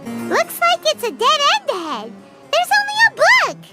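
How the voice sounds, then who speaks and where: A woman voicing a tiny, excitable floating female companion who chatters eagerly in a game. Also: very high voice, a little slow, heavily acted. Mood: excited. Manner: refers to herself in third person, eager. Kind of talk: game voice acting. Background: music.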